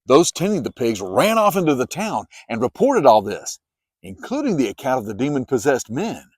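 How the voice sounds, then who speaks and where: A man reading scripture as a dramatized, expressive storytelling narration. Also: mid-range voice, moderate pace, breathy edge, dry recording, studio quality. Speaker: narrator, Bible reader.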